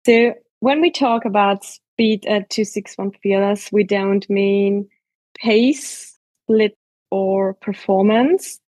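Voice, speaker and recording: Slow-paced Austrian woman, close dry sound. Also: mid-range voice, a little mellow, no echo, low-quality recording. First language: German. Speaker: podcast guest, sports scientist. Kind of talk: careful explanatory interview talk.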